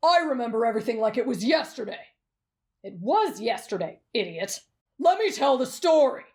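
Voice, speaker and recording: Girl doing a rowdy bossy anime warrior boy, gruff and cocky, pretty lively delivery. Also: on the high side, normal speed. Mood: brash, stubborn. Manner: blunt, gruff, cocksure. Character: brash, rowdy, bossy young wild warrior man. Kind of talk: anime fan roleplay audio.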